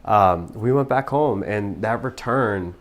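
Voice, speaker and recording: A man's voice: lowish voice, takes it slowly. A man in his thirties from Pakistan, telling his own story as a podcast guest, earnest and reflective. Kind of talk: personal story in a podcast interview.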